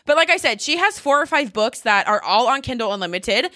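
Female vlogger, high bright voice, gushing and conversational, very dry close sound. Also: animated, brisk, no echo. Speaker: vlogger recommending favorite books. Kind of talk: casual chatty storytime monologue to camera.

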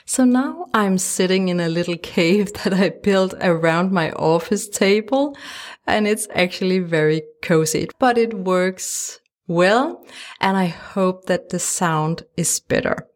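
A woman from Denmark with a Danish accent, hosting her own podcast from a small home studio in a gentle, encouraging way. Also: fairly low pitch, slow pace. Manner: gentle, encouraging, laughs lightly now and then. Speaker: podcast host and life coach. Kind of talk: solo podcast monologue. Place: small home studio lined with sound panels.

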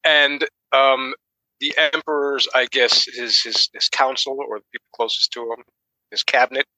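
A man's voice: mid-range voice, little pitch movement, moderate pace, pushed and bright, no echo, low-quality recording. A man co-hosting a podcast, giving a casual book summary in an informal, tentative way. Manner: tentative, informal, hedging as he explains. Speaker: podcast co-host.

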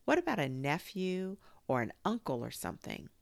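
A woman voicing a calm young boy in a storybook, making considered, matter-of-fact pronouncements with gentle teasing. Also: lowish voice, moderate pace, creaky, dry recording, pitched above the performer's own voice, heavily acted. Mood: musing.

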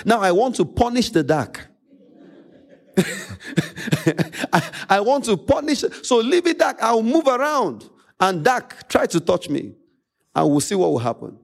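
Male pastor, Nigerian accent, Yoruba native. High-pitched, swooping delivery; emphatic sermon with repeated phrases and dramatic pauses. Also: big pitch swings, creaky. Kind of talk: preaching a sermon.

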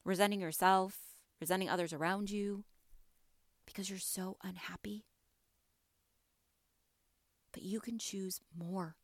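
A mother hosting a motivational podcast, her voice a little raspy from allergies, talking earnestly and encouragingly and asking her listeners question after question. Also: mid-range voice, steady tone, measured pace, long pauses, dry recording, clean studio sound. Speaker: podcast host.

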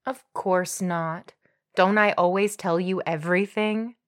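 A woman's voice: on the low side, fairly even intonation, measured pace, lightly acted. A woman playing an earnest, bashful young woman who confides guiltily in an audiobook drama. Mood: ashamed, confiding. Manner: confessional, self-reproachful. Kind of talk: dramatized audiobook character acting.

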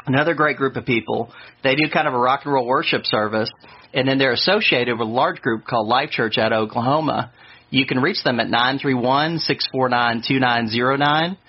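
A man hosting a radio interview and reading sponsor notices, friendly and relaxed, laughing easily. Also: medium pitch, fairly quick, breathy edge. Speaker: radio host. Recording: muffled radio recording.

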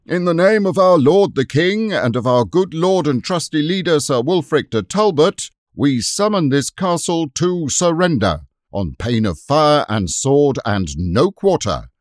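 A man's voice: on the high side, sing-song, a little slow, few pauses, no room sound, clean studio sound, voice pushed up. A man voicing a formal medieval herald bellowing a summons. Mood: commanding.

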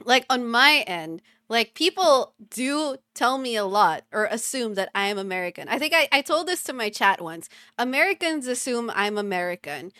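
Podcast host, a Filipina with a dry sound. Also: female voice, fairly high pitch, lively intonation, normal speed, few pauses, sharp tone, no room sound. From Philippines. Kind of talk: casual podcast chat.

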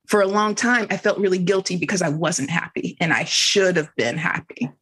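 A woman's voice: lowish voice, normal speed, a bit bright, slightly rough. A woman in a reflective, casual group discussion, candidly thinking aloud as she talks. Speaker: team member in a group discussion.

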